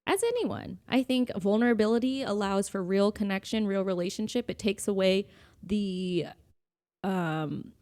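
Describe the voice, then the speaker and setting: A woman's voice: mid-range voice, lively intonation, moderate pace, a little mellow. Earnest woman chatting on a podcast. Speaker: podcast co-host. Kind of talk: casual conversational podcast discussion.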